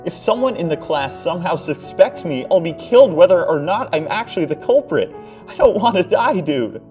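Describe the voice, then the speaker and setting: A man voicing a panicked young male student who shouts and pleads with his voice cracking high, in a story reading. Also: big pitch swings, normal speed, soft background music, close to an everyday voice. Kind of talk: audiobook-style story reading.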